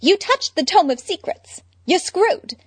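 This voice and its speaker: A woman's voice: very high voice, very animated, measured pace, no room sound, voice pushed up, heavily acted. Woman putting on a smug scheming man.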